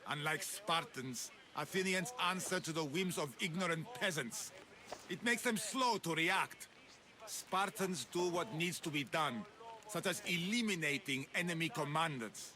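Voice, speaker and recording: An actor playing a ruthless, proud Spartan general in a video game, speaking in a boastful, curt, imperious way. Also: male voice, a little high, lively intonation, measured pace, a crowd in the background. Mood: proud, commanding. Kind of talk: scripted video game acting.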